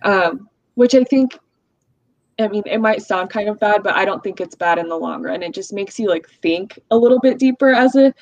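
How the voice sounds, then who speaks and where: A woman's voice: mid-range voice, moderate pace, darkish tone, dry recording. A young adult woman from the US, whose first language is Russian, talking reflectively in a casual podcast chat with lots of fillers. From United States. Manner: reflective, uses many fillers like "like" and "um". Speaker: guest. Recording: dull, dry, lo-fi sound.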